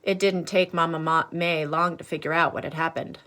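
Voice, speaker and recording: A woman reading a picture book aloud in an expressive, teacherly way, pausing to put asides and questions to young listeners. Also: sits low. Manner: animated, teacherly, pauses for questions.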